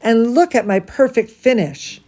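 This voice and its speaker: A woman's voice: a little high, unhurried, warm tone, lightly acted. Woman reading as an eager, proud little girl ballerina.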